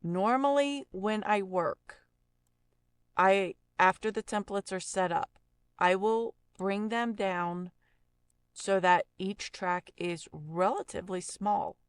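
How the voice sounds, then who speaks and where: A woman instructing in a casual step-by-step software tutorial, explaining each step plainly into a close mic. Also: on the low side, gravelly, clean tone, dry recording.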